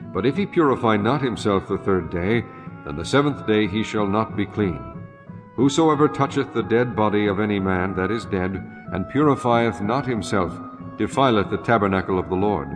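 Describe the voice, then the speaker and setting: A man narrating an audio Bible in a solemn, formal, measured scripted style. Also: on the low side.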